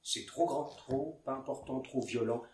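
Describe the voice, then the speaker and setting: A man playing a volatile, domineering theatre director in a theatrical, hectoring, repetitive way, swinging between hushed intensity and shouting. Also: medium pitch, a little slow, natural voice. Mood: hushed, intense. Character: domineering, volatile male theatre director berating his actress. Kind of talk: theatrical stage acting. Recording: roomy, distant mic with background noise.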